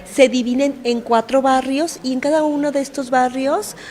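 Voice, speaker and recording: A woman's voice: high-pitched, steady tone, normal speed, darkish tone. A woman with a Mexican accent explaining things as an official spokesperson in a press interview.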